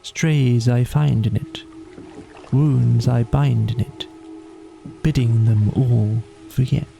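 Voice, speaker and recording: A man's voice: medium pitch, fairly even intonation, slow, creaky, mellow, soft background music. A man voicing a dreamy, languid, poetic male water rat in a storybook, with a murmuring, rapt manner. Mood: hushed, entranced.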